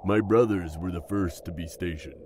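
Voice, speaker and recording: A man's voice: low-pitched, moderate pace, warm tone, a little hiss or hum, natural voice. A man playing a jovial, battle-seasoned dwarf king in a fantasy audio drama, speaking in a genial, regal manner. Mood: genial, explaining. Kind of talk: fantasy audio roleplay acting.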